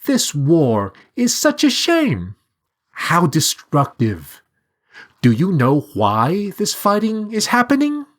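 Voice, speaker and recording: A sly copper bird, as a man puts it on in a story, its voice high, sing-song and swooping well above his own. It coaxes slowly and sweetly, with loud bright lift on its cries. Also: wildly swooping pitch, exaggerated voice. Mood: coaxing.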